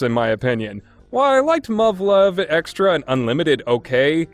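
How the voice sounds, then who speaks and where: A man delivering a scripted review narration in an opinionated, emphatic way. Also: sits high. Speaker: reviewer, list host.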